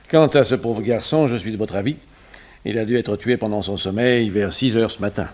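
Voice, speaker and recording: A man playing an agreeable, matter-of-fact police doctor in a radio drama, with a measured, professional manner. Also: lowish voice, a little mellow, close to an everyday voice. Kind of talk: old French radio drama acting.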